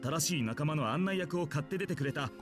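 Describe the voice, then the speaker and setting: Quick, lively, apologetic man played by an actor. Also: fairly high pitch, over music, natural voice. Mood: friendly introduction. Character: apologetic, friendly adult man in glasses, a teacher figure. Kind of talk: Japanese visual novel voice acting.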